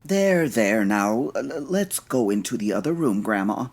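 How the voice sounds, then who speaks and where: Male actor as fussy, timid crook's lawyer: husky, expressive, hesitant stammer, formal manners, radio spoof. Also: medium pitch, a little slow. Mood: nervous, placating. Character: nervous, courtly male mob lawyer, deferential and stammering.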